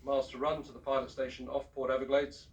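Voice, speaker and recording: A man speaking as a ship's captain, giving a formal, measured and courteous announcement over a public address system. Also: mid-range voice, flat delivery, lo-fi sound. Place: aboard a cruise ship, heard over the public address system. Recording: distant shipboard PA speaker, picked up from afar.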